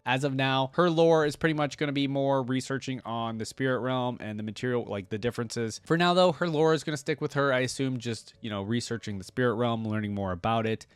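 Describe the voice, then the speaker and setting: Man, mid-pitched voice, casual reaction host, conversational, emphatic, dry room sound. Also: smooth, no echo. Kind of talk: casual fan commentary.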